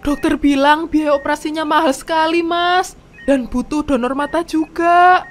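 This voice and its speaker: A woman's voice: high voice, steady tone, moderate pace, a little hiss or hum, natural voice. A woman doing a greedy scheming cartoon wife. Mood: worried. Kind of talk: animated cartoon voice acting.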